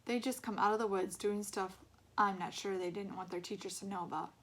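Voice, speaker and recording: A woman storyteller reads a book aloud in a medium-pitched voice. Her narration is expressive and follows the story phrase by phrase. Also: fairly quick.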